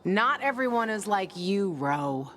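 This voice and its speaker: A woman's voice: mid-range voice, big pitch swings, measured pace, fairly bright tone, slight background noise. An actress playing a hard-bitten, sardonic young woman in a video game, blunt and guarded. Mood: dismissive, sardonic. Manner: blunt, dry, profane. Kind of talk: video game character acting.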